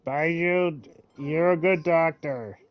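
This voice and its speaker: A man's voice: on the high side, slow. A man reacting casually as he streams a game, on a slightly dull lo-fi track. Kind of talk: casual reactions while playing. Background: game music.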